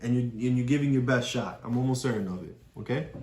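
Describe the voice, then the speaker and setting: A woman with a notably low voice talks a child through a picture book, patiently explaining and circling back to the same phrases. The room around her is echoey. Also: quick pace, a little mellow. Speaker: parent reading with a child.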